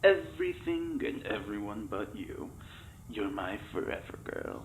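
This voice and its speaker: An actor playing a flirtatious, lovestruck test subject in an audio drama, with syrupy, theatrical delivery. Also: male voice, fairly low pitch, sing-song, a little slow, a little hiss or hum, rough recording.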